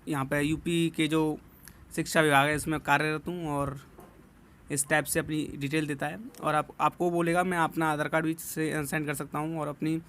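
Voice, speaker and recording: Male presenter, slightly high voice, informal explainer delivery with repeated check-ins. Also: fairly bright tone. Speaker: presenter warning students about a scam call. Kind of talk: informal explanatory talk to viewers.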